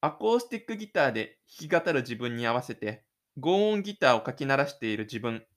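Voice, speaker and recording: A man's voice: mid-range voice, sing-song, brisk. A man reading scripted literary narration for an audio drama.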